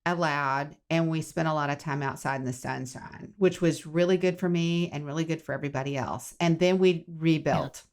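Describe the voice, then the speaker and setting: A woman with a slightly low voice speaks as a guest in a personal conversation. She is frank and conversational, leaning on key words for emphasis. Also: steady tone, moderate pace, few pauses. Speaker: podcast guest.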